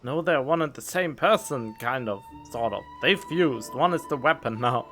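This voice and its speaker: A man's voice: mid-range voice, expressive, moderate pace, a bit bright. A man doing casual commentary while playing a video game, musing aloud in an offhand, curious way. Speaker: gaming streamer. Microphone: USB condenser mic. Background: game music.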